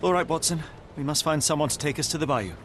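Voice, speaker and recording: An actor playing a composed, analytical British detective in a video game, speaking in a measured, formal way. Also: male voice, on the high side, fairly bright tone, slight background noise, lightly acted. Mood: businesslike. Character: composed, analytical young gentleman detective, coolly persuasive.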